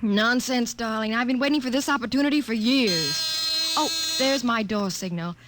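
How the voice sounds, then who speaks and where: A woman acts out a young professor in an old sci-fi radio play, proper yet nervous. Her voice is on the high side and very animated, with little hesitations. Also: moderate pace, very noisy, natural voice. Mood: brisk. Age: young adult. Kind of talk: 1950s radio drama acting.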